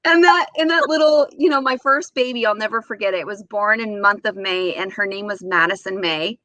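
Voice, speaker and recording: A woman in her forties speaking as an interview guest, telling casual anecdotes in an animated way and acting out remembered dialogue. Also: mid-range voice, fairly quick, no room sound. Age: 40s.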